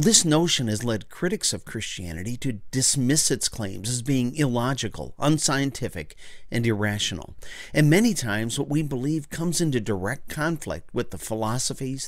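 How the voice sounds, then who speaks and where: A man reading scripted narration as a series presenter in a measured, earnest, articulate manner. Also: mid-range voice, expressive, no echo, clean studio sound.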